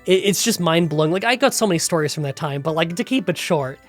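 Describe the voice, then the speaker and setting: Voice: mid-range voice, lively intonation, fast, soft background music. A gaming YouTuber with a nasal, congested voice from a cold, chatting through a challenge recap and rambling story into a close voiceover mic.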